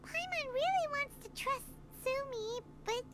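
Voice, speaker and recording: A woman voicing a tiny, cheerful, childlike fairy companion with a squeaky voice in a video game. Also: expressive, unhurried, music in the background. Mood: worried. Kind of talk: video game dialogue acting. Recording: noisy game stream capture.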